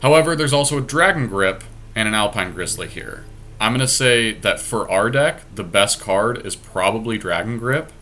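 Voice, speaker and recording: A man with a low-pitched voice and a bright, forceful edge. He talks casually through a card game draft as a host, thinking aloud and explaining each choice decisively. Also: animated, normal speed. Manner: decisive, explains his reasoning.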